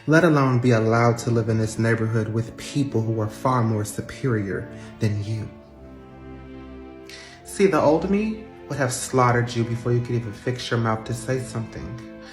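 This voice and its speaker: A performer voicing a sassy, confident woman store manager who speaks in a slow, cool, cutting way. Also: medium pitch, pitched below the performer's own voice, lightly acted. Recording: roomy echo with faint music.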